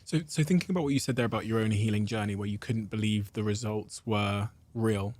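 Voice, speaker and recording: A man's voice: lowish voice, brisk, darkish tone, dry recording. A man hosting a podcast, asking probing questions and summing up his guest's story. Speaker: podcast host. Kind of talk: interview questions.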